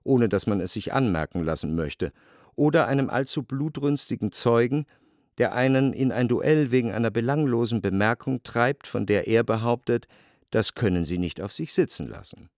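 A man's voice: mid-range voice, lively intonation, normal speed, darkish tone, no room sound, close to an everyday voice. Man acting a wry narrator, dry close sound. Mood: wry, detached. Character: wry, observant literary first-person narrator. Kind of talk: literary radio drama narration.

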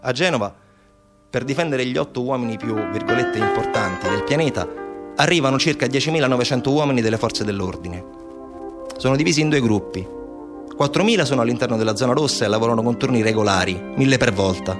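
A man narrating a scripted theatre piece in a measured, factual storytelling manner. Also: mid-range voice, animated, music in the background. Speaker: narrator, stage actor.

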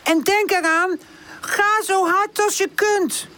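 A woman voicing a small, excited female puppy in a children's storybook, urging her friends on. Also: very high voice, takes it slowly, voice pushed up, exaggerated voice. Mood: encouraging.

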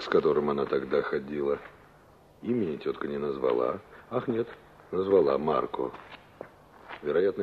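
Wry, low-voiced young engineer. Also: male voice. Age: young adult. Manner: wry, clipped, ironic. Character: wry, brusque young engineer, once a builder. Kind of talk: 1960s radio play acting. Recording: dull, noisy vintage radio recording.

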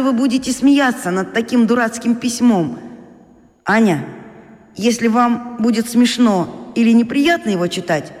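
An actor playing a shy, earnest schoolboy reading his letter in a children's radio drama, hesitant, polite and sincere. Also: sits high. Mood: bashful, apologetic. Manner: hesitant, polite, sincere, pausing between phrases. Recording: long reverb, music underneath. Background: music.

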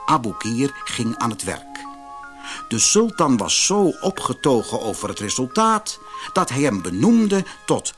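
A man narrating a fairy tale for a children's audiobook in an expressive, measured storytelling manner. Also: mid-range voice, breathy edge.

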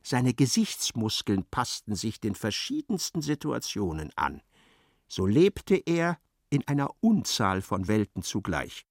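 A man narrating a literary radio drama in a measured, articulate, storytelling way. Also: fairly low pitch, huge pitch swings, dry recording, clean studio sound, heavily acted.

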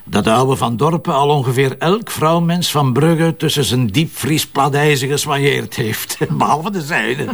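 An actor playing a wry, teasing police commissioner in a crime drama, with a Flemish accent. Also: male voice, medium pitch, a little slow, gravelly, hardly any pauses. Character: joking, self-assured male police commissioner.

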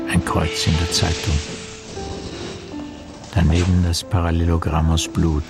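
Slow, low-voiced brooding noir narrator. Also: male voice, creaky, exaggerated voice. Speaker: radio play narrator. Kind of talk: literary radio play narration, terse poetic fragments. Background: music behind the voice.

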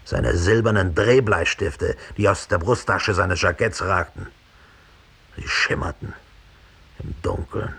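Husky, low-voiced embittered killer confessing. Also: male voice, a bit bright, heavily acted. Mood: grimly reliving. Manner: slow, deliberate, brooding storytelling. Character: cold, embittered middle-aged German killer confessing his murder. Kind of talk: 1960s German radio play monologue.

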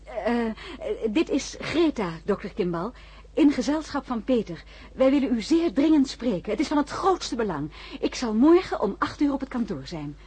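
A woman's voice: fairly high pitch, very animated, moderate pace, warm tone, breathy edge, heavily acted. An actress playing an inquisitive, practical woman among scientists in a Dutch radio drama, questioning and articulate. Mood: practical, urgent.

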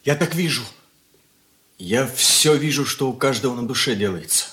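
An actor playing a brooding, wounded and defiant schoolboy son in a Soviet radio drama, earnest and bitter in manner. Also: male voice, mid-range voice, lively intonation, moderate pace, fairly bright tone, natural voice. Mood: reproachful.

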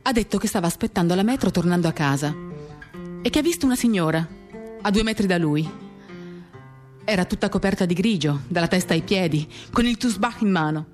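A woman giving a measured, intimate dramatic reading of first-person literary narration in short, paused phrases, accompanied by acoustic guitar. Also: on the low side, lively intonation, darkish tone. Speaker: reader of a novel.

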